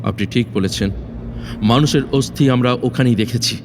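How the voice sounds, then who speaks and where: A man playing an earnest, resolute young fairy-tale prince in an audio drama, speaking formally with deliberate, dramatic phrasing. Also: medium pitch, lightly acted. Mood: urgent, guiding. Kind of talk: Bengali audio drama acting. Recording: long reverb, faint background noise.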